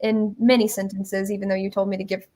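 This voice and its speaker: A woman's voice: mid-range voice, flat delivery, quick pace, noisy background. A woman telling a story in casual, informal chat as an interview guest, with a giggly manner. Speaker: guest presenting a musical.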